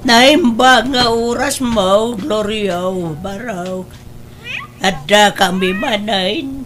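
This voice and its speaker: Slow-speaking, concerned older mother. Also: mid-range voice. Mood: urging, worried. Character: concerned older mother interceding for her daughter. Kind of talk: Ilocano radio drama acting.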